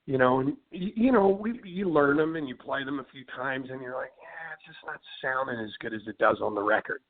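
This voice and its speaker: A man's voice: mid-range voice, lively intonation, fairly quick. Man on a tinny phone line, rambling. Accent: Philadelphia. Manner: rambling, many fillers and restarts, says "you know what I mean". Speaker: rock band singer, interview guest. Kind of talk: casual interview chat.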